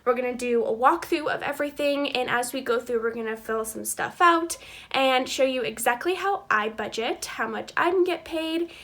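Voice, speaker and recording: A woman's voice: high-pitched, normal speed, a bit bright. A woman in her twenties, stuffy from a cold, hosting a chatty, upbeat budgeting walkthrough for her viewers. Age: 20s. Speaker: channel host.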